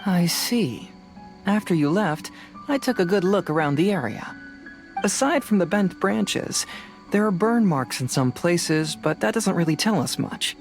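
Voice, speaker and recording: A man voicing a calm young detective in a video game dub, reasoning aloud in a deliberate way. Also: high-pitched, faint music behind.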